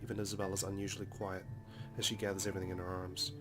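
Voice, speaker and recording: A man doing a Let's Play, dramatically reading visual novel narration in a serious, measured storytelling manner. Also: low voice, flat delivery. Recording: loud music behind the voice. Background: game soundtrack music.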